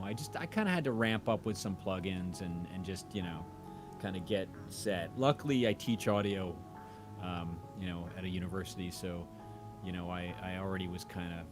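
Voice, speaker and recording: A man in casual conversation, rambling and halting in a modest, self-effacing way. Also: sits low, over music. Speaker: audio drama creator and podcast host; university audio teacher. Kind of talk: casual chat.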